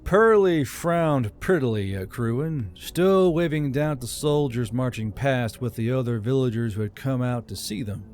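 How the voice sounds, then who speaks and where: A man's voice: medium pitch, lively intonation, measured pace, few pauses, darkish tone. A man reading a scripted fantasy story as an audiobook narrator.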